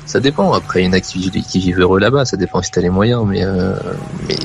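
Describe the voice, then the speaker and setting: A man's voice: sits low, quick pace, creaky, slight background noise. A man calling in to a talk radio show, speaking hesitantly with many restarts. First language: French. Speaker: caller. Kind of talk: casual phone-in chat.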